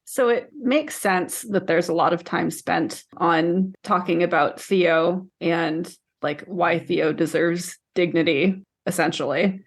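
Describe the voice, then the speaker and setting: A woman's voice: on the low side, slow, few pauses. A woman in her thirties co-hosting a podcast, talking casually through a book discussion. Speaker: podcast co-host.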